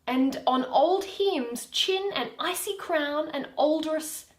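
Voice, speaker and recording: A woman voicing a proud, indignant fairy queen in measured, declamatory Shakespearean verse. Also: high-pitched, expressive, echoey room, voice pushed up. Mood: aggrieved.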